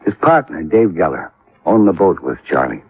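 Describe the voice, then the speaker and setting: An American actor playing an easygoing, laconic police lieutenant in a 1950s radio drama, unhurried and noncommittal, with a husky voice. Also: male voice, sits low, sing-song, no room sound, full character voice. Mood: laconic, matter-of-fact. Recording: dull vintage radio recording.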